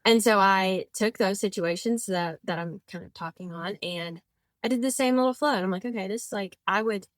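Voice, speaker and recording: A woman in her twenties talks as a podcast guest, circling her thoughts with "like" and quick self-corrections. Her voice sits at an average pitch. The sound is dry and close, with no room to it. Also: dry recording. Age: 20s. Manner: chatty, rambling, frequent "like" fillers and self-corrections. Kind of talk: casual conversational interview.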